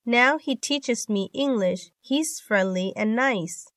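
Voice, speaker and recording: A woman reading scripted English lesson sentences for a listening test, in a measured, carefully enunciated manner. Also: on the high side, fairly bright tone, no room sound, clean studio sound. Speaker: exam listening test reader.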